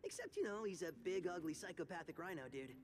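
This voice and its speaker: An actor voicing a playful, goofy young ninja turtle who talks in excitable surfer slang. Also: male voice, high voice, quick pace. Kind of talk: video game cutscene acting. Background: music.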